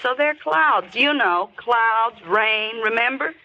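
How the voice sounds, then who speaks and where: A woman's voice: on the high side, animated, slow pace, a little hiss or hum. An actress playing a sarcastic, bossy city girl who cracks wise in an old radio drama. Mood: wisecracking. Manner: brassy, sarcastic wisecracks. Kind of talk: 1930s radio drama acting. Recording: muffled old radio recording.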